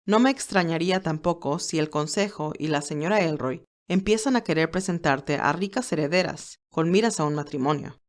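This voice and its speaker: A woman voicing a loyal, formal male business aide in an audio story, measured and advising. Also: sits low, lightly acted.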